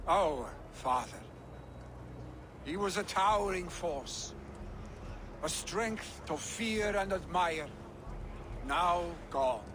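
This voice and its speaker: Viking heir, high and measured, rousing and mournful declamation, video game drama with clearly noisy ambience behind. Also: male voice. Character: grieving Viking jarl's son, rousing and proud. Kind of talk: dramatic video game acting, funeral oratory.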